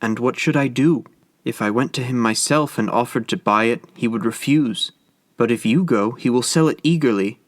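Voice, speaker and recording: A desperate young wife pleads in a breathless, urgent rush, as a male reader puts her on. The voice rises higher than a plain reading, and the recording is dry and close. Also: normal speed, no room sound, natural voice. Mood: pleading.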